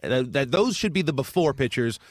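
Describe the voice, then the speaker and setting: A man hosting a casual chat, halting with many restarts and dry jokes. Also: mid-range voice, animated, dry recording. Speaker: podcast host.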